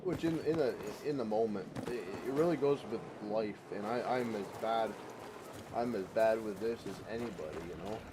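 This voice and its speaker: A man's voice: on the low side, moderate pace, noisy background. A man with a head cold chatting casually while streaming a shooter game, rambling in a folksy way and repeating his words. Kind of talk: casual gaming livestream chat. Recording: loud game gunfire behind voice. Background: gunfire and vehicles from the game.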